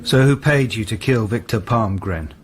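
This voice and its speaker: A police detective in a naturalistic radio drama. He has a low, slightly husky voice and questions patiently, measured but gently insistent. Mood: probing.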